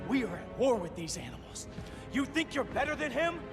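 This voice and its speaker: Cocky young assassin kid from a game, high voice, contemptuous, score under it. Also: male voice, sing-song, brisk, music in the background, heavily acted. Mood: sneering defiant. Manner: sneering, contemptuous, defiant. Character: arrogant young male assassin-trained sidekick, ruthless. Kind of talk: video game cutscene acting.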